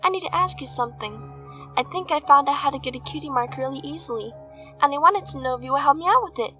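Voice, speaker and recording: A woman's voice: sits high, lively intonation, fast talker, bright, forceful tone, soft background music. A woman voicing an earnest, anxious little girl pony in a cartoon fan audio drama, hesitant but eager.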